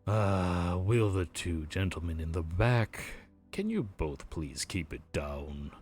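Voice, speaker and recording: A weary grim reaper clerk with a very deep voice that rises and falls widely as he talks, played by a man. He speaks slowly, like a sarcastic, put-upon bureaucrat, with faint music behind him. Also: big pitch swings, darkish tone, exaggerated voice. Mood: stern. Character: weary male grim reaper running the underworld queue. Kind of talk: audio roleplay.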